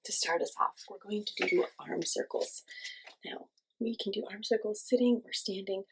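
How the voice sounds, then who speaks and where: A woman teaching young children, giving simple encouraging instructions and asking questions, with pauses for answers. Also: mid-range voice, a little hiss or hum, poor audio. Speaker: children's class teacher and host. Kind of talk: children's lesson with guided movement.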